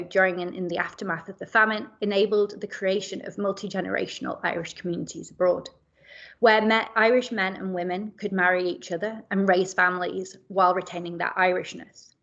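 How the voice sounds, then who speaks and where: A woman's voice: medium pitch, highs cut off. Woman giving an academic seminar talk. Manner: measured, with frequent fillers and self-corrections. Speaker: academic speaker.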